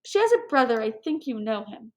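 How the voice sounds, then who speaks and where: A woman reader voices a gushing, meddlesome society hostess from a classic storybook. Her voice sits somewhat high, and her manner is courtly and confiding, tinged with coy melancholy. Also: very animated, brisk, darkish tone. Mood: confiding, persuasive.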